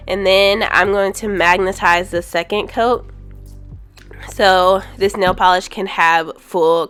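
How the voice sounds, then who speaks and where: A woman's voice: medium pitch, a bit bright, clean tone. A woman with a stuffy, congested voice from a cold, casually reviewing nail polish with frequent ums and candid opinions. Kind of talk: casual product review voice-over.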